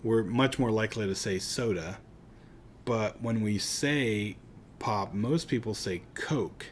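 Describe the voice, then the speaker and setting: Pittsburgh-accent guy teaching a lecture, chatty and loose, lowish voice, bit of hum. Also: takes it slowly. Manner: conversational, chatty, with asides. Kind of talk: recorded lecture.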